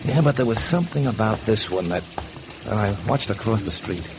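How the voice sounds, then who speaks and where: Deep-voiced, world-weary noir painter. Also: male voice, lively intonation, a little fast. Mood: wry. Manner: wry, hard-boiled storytelling. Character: down-on-his-luck male painter, world-weary, hopeful, noir narrator. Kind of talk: 1940s radio noir acting and narration. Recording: noisy, muffled 1940s radio recording.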